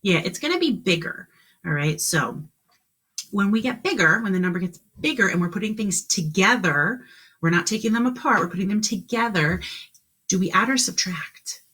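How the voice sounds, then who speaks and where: A woman's voice: mid-range voice, expressive, moderate pace, fairly bright tone, husky. A woman teaching kindergarten on a live stream, speaking encouragingly to children in simple questions and instructions.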